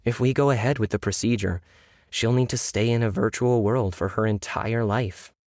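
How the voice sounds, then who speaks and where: A man voicing a grave, resigned woman doctor in a science fiction audiobook, measured and matter-of-fact. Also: mid-range voice, steady tone, darkish tone, no room sound, clean studio sound, close to an everyday voice. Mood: grave, certain. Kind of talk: audiobook character dialogue.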